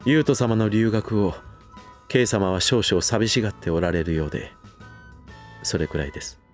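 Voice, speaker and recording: Man acting a stiffly formal, low-voiced manservant. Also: moderate pace, soft background music, natural voice. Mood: curt, guarded. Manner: formal, clipped servant politeness with barbed edge. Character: stiff, loyal manservant, coldly polite and distrustful. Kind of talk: Japanese voice drama acting.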